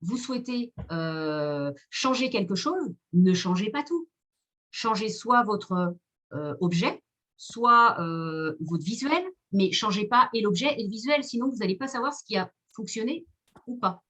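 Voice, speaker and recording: A woman's voice: medium pitch, lively intonation, a little slow, fairly bright tone. A woman talking casually as a trainer in a webinar, explaining in a hesitant, meandering way with many repeated words. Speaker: marketing consultant and trainer speaking in a webinar.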